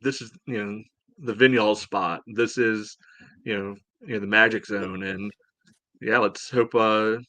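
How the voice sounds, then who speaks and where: A man chatting casually as a sports podcast host, breaking down play in a halting, rambling way full of verbal padding. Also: lowish voice, steady tone, bright, forceful tone, no echo. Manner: halting, rambling delivery peppered with "you know". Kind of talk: casual sports analysis chat.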